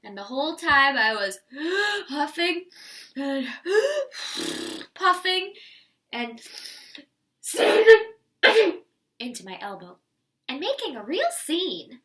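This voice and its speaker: An indignant storybook wolf, acted out by a woman, high and bright, tells his side slowly with theatrical emphasis and aggrieved innocence. The voice sits a little way off from the mic. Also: sing-song, exaggerated voice. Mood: worked up. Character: wronged wolf, male, folksy, pleading innocence, indignant. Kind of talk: children's picture-book read aloud. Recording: voice some distance from the mic.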